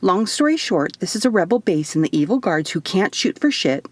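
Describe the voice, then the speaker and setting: A woman's voice: mid-range voice, big pitch swings, a little fast, no echo. A woman voicing a crazy, cheerful, pixie-like little woman in an audiobook, with a perky, breezy, rambling manner. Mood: manic cheer.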